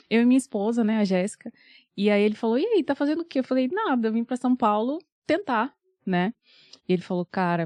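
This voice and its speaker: A woman in her thirties from Bahia, a singer and vocal coach, chatting as a podcast guest with frequent laughter and lively storytelling. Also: fairly high pitch, no echo. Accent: Bahian Portuguese. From Bahia, Brazil. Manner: laughs often, animated storytelling. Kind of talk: casual interview chat.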